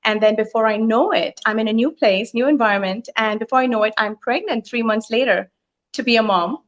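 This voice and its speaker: A middle-aged woman from Thailand, speaking as a guest in an earnest, reflective way with frequent pauses. Her voice sits a little high. The sound is dull and lo-fi, as on an online live stream. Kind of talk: reflective personal storytelling.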